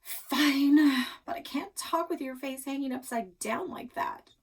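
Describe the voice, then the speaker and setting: Woman reading as a plaintive, high-pitched young sister. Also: measured pace, vocal fry, distant mic. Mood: tearful, sulky. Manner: sulky, plaintive. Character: upset, tearful young sister.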